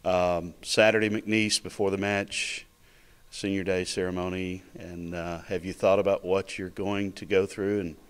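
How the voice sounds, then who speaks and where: Male sports interviewer, low register, halting delivery with pauses mid-question. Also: flat delivery, clean tone. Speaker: interviewer for college tennis program.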